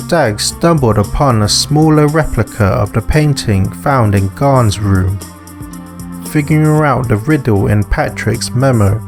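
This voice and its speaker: Male visual novel narrator, medium pitch, measured slow delivery of scripted prose. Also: gravelly. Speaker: narrator and author.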